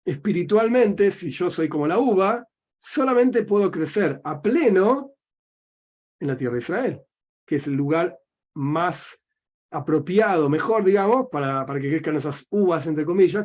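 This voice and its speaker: A man's voice: on the high side, a little mellow. A middle-aged man with an Argentine accent, a rabbi giving a religious teaching talk, explaining by asking himself questions and answering them. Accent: Argentine Spanish.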